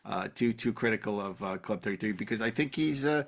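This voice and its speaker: A man co-hosting a podcast, chatting casually about films in an animated way, often repeating and stammering over his words. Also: on the low side, a little fast, gravelly. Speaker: podcast co-host.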